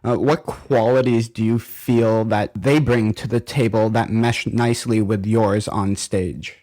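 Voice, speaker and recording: A man's voice: fairly low pitch, fairly even intonation, a little slow, a little mellow. A man hosting a podcast, asking questions and giving brief, encouraging prompts. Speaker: podcast host. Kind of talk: interview questions.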